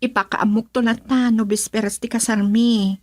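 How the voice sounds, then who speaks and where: A woman's voice: a little high, fairly even intonation, normal speed, no echo, lightly acted. A woman playing a headstrong, insistent young bride-to-be who defies her father in an Ilocano radio drama.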